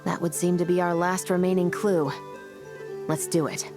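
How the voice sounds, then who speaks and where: A woman's voice: on the low side, level tone, moderate pace, natural voice. A woman voicing a focused, practical adventurer in a video game, with a decisive manner. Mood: determined. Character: focused, practical female adventurer on a gang's trail. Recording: music behind the voice.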